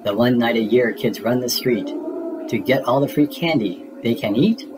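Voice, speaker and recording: A man reading a spooky rhyming children's story aloud in a theatrical, deliberate way, as a storybook narrator. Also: medium pitch, background noise.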